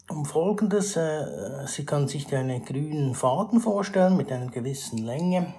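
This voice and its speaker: A male math teacher with a Swiss German accent explains a math problem step by step over a screen recording, with many pauses and restarts. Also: medium pitch, darkish tone. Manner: formal address to viewers, rising emphasis on new steps.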